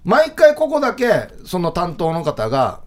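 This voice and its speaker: A man with a slightly high voice and hugely varied intonation. He chats casually and explains things emphatically, often doubling up his agreement. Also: wildly swooping pitch, measured pace. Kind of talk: casual chat.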